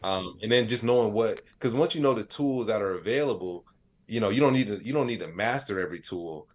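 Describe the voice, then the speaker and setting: A man's voice: fairly low pitch, animated, quick pace. A man speaking as a podcast guest in a casual, rambling, slangy conversational style. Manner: rambling, slangy, frequent "you know what I'm saying". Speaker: podcast guest, AI expert and comedian.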